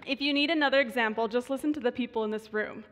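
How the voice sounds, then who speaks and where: Young woman with vocal fry in a hall. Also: on the high side, fast talker, fairly bright tone. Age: 20s. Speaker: student voice researcher giving a talk. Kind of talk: prepared short stage talk. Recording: reverberant hall.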